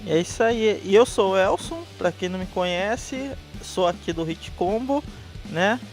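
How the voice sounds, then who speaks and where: A man's voice: high-pitched, lively intonation, unhurried, faint music behind. Upbeat man hosting a podcast. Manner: upbeat, handing over turns. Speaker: podcast host.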